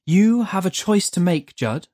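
A storyteller voicing a delicate, composed female angel of the future who speaks in a measured way. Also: mid-range voice, animated, warm tone, no room sound, clean studio sound, pitched above the performer's own voice. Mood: calm.

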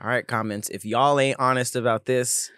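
A man's voice: fairly even intonation, normal speed, fairly bright tone, smooth, no echo, studio quality. A young man co-hosting a podcast, joking and teasing in casual banter. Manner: joking, teasing, tries deep voices. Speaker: podcast co-host.

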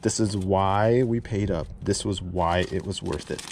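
A man's voice: deep voice, a little slow, creaky, a little hiss or hum. A man vlogging as he digs through a storage unit, amazed and repeating exclamations, filmed on a head-mounted camera. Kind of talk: excited unboxing vlog. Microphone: head-mounted action camera.

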